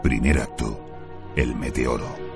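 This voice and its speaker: A man announcing titles in a slow, dramatic, scripted style. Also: a bass voice, vocal fry. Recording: loud music over the voice.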